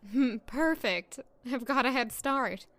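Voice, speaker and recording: A woman's voice: sits high, lively intonation, slow, a bit bright, no room sound, full character voice. An actress playing a bashful, wry young working woman in an audio drama. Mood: bashful, playful. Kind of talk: audio drama acting and narration.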